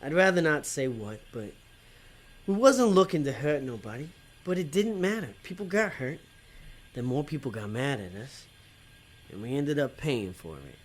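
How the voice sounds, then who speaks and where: A man's voice: mid-range voice, very animated, normal speed. A man playing a conflicted, working-class demon tempter in an audio drama, talking in a colloquial, hesitant way. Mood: regretful.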